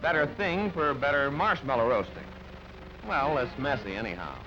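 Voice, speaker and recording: A man's voice: mid-range voice, animated, normal speed, highs cut off. A man narrating an old newsreel from a script in a jaunty, theatrical announcer's manner. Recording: band-limited old newsreel, music underneath. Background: background music.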